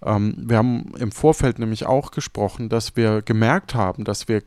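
A man's voice: fairly low pitch, normal speed, darkish tone, clear, resonant tone, dry recording, clean studio sound. A man hosting a casual podcast, reflective and correcting himself as he thinks aloud. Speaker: podcast host.

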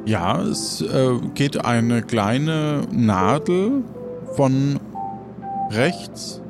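A man's voice: medium pitch, animated, very slow, over music. A man narrating an improvised audio adventure as its game master.

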